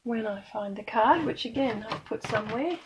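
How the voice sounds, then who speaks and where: A middle-aged woman from Australia, slightly croaky today, chatting casually and self-deprecatingly while she demonstrates card-making. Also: fairly high pitch, lively intonation, normal speed, heavy background noise. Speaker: craft demonstrator.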